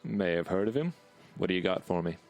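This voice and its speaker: A man playing a stern, wry cyberpunk mercenary with a gruff, laconic, teasing manner. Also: sits low, expressive, fairly quick, darkish tone, faint music behind. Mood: guarded.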